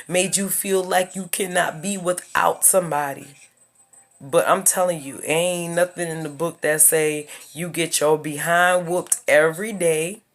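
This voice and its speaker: A woman in her thirties with a lowish voice delivers a casual vlog monologue. She lectures her viewers emphatically and hammers her points home through repetition. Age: 30s. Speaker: YouTuber giving life advice.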